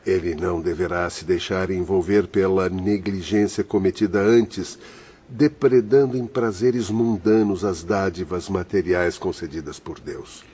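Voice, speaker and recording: A learned spirit doctor, played by a man, explains in a measured way with a low, husky voice. The recording is slightly dull and muffled. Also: warm tone. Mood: explanatory. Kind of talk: audio drama acting.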